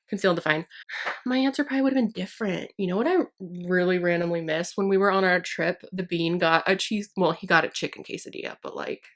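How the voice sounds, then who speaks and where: A young woman vlogger chatting casually while doing her makeup at home, rambling and self-deprecating as she gets over a sinus infection. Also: fairly low pitch. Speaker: beauty vlogger. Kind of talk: casual get-ready-with-me chat. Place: downstairs at home by her vanity.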